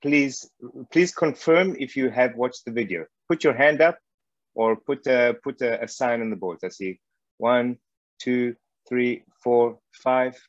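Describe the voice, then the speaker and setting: A man from South Africa in his thirties lectures informally on a video call. His voice is medium in pitch, with a hesitant, gently joking way of talking. The sound is close and dry but a little muffled. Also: unhurried, no echo. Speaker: guest lecturer. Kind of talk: informal online teaching talk. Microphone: wireless earbuds on a video call.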